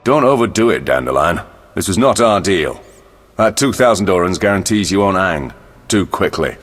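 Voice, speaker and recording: Casting: gruff game jail captain, commanding and curt, low voice in a reverberant space. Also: male voice, lively intonation, moderate pace, fairly bright tone. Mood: brusque. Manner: brusque, commanding. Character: gruff, no-nonsense, corrupt male jail captain. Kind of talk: video game voice acting.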